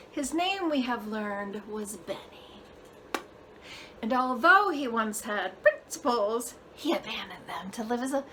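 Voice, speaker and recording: A woman playing an eccentric, impassioned performance artist in a theatrical monologue, drawing out and half-singing phrases, swinging from hushed to loud. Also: on the high side, a little hiss or hum. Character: eccentric, impassioned female performance artist telling a surreal dream.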